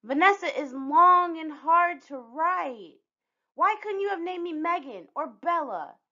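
A woman's voice: very high-pitched, expressive, a little slow, bright, forceful tone, highs cut off, pitched above the performer's own voice, heavily acted. A woman voicing a shy, self-conscious, earnest little girl in a storybook. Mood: whiny.

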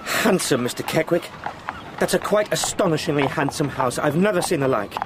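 A man acts an eager young English gentleman in a radio drama. His voice sits a little high, and his speech is formal and polite, with hesitant asides. Busy outdoor effects sound clearly behind him. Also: lively intonation, fairly quick, background noise, lightly acted. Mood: awed, eager. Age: young adult.

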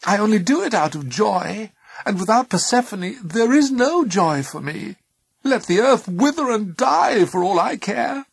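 A man voicing an anguished mother goddess in a storybook, imploring in a loud, emphatic and passionate way. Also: sits high, very animated, measured pace, vocal fry, slightly rough, pitched above the performer's own voice, full character voice. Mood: defiant grief. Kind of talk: storybook character voice.

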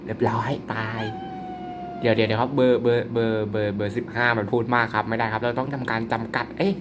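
A young man commentating his own mobile werewolf game in a chatty, excitable way, polite but slipping into laughter. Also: medium pitch, quick pace, noisy background. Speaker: gaming YouTuber.

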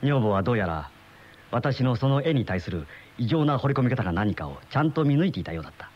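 An actor playing an uneasy middle-aged husband in a radio mystery, with brooding, dramatic storytelling. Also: male voice, mid-range voice, very animated, fast talker. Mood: brooding, dreamy. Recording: muffled old radio drama recording, music behind.

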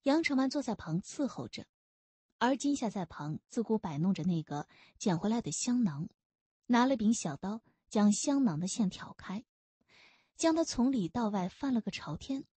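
A woman's voice: medium pitch, very animated, moderate pace, dark tone, breathy edge, no echo. A woman narrating a novel expressively as scripted storytelling. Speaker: audiobook narrator.

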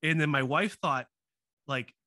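A man's voice: fairly high pitch, a little slow, bright, forceful tone, dry recording. A man in his thirties from Wisconsin with a Wisconsin accent, hosting a casual, rambling interview from a home studio on a desk mic. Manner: friendly, rambling, self-deprecating. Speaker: podcast host. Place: home studio with comic shelves. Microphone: desk podcast mic.

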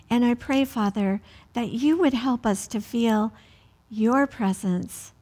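Older american lady doing kids church story time, really slow and deliberate, pauses between phrases. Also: fairly high pitch, a little mellow. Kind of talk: read-aloud storytelling and prayer for children. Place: indoors, seated in a church.

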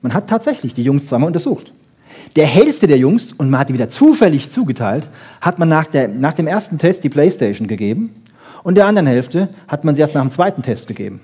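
Husky, emphatic male lecturer. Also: on the high side, sing-song, brisk, warm tone. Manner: emphatic, didactic, with frequent check-in questions. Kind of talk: informal public lecture on research.

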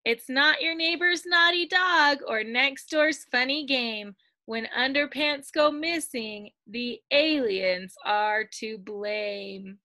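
A middle-aged woman reading a picture book aloud to young children like a teacher, expressive and sing-song, drawing out the ends of lines. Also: high-pitched, dry recording. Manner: expressive, sing-song storytelling with drawn-out line endings. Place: indoors at a computer, screen-share video.

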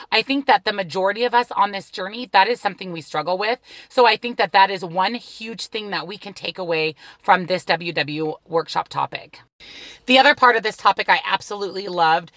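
A woman's voice: mid-range voice, fairly bright tone, no echo. Chatty woman vlogging from her car. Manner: chatty and upbeat, talking straight to viewers. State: slightly scratchy voice. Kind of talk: casual vlog talk to camera. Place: inside a parked car. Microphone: phone camera at arm's length.

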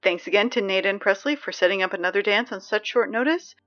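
A woman playing Sammy, an excitable teen girl reading the school announcements in an audio drama, chatty with sarcastic asides. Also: mid-range voice, quick pace, lo-fi sound, faint music behind, natural voice.

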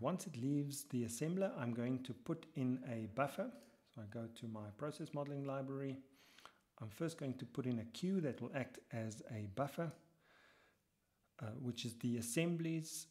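A man talking through a software tutorial step by step as he works, muttering some lines to himself, with keyboard typing behind him. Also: mid-range voice, moderate pace. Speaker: lecturer.

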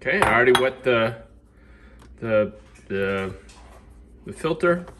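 A man's voice: medium pitch, lively intonation, measured pace, background noise. A man, casually reviewing coffee in his home kitchen and rambling as he works, recorded on a phone camera. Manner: rambling, restarts often, talks while working.

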